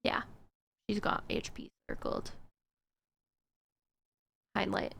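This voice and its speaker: A woman's voice: slow, fairly bright tone, slight background noise. A woman streamer chatting casually while she plays, answering her chat with plenty of fillers and little laughs.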